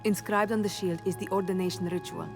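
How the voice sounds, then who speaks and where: An actress playing a proud, duty-bound rebel warrior who speaks formally and solemnly in a video game cutscene. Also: female voice, lowish voice, fairly even intonation, fast talker, vocal fry. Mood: grave, resolute. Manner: formal, declarative. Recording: music under the voice.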